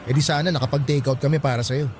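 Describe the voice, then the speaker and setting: A man's voice: mid-range voice, steady tone, rapid-fire, a little mellow, slight background noise, close to an everyday voice. An actor playing a playful, teasing young man who grumbles and banters with friends in a Filipino radio drama. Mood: casual.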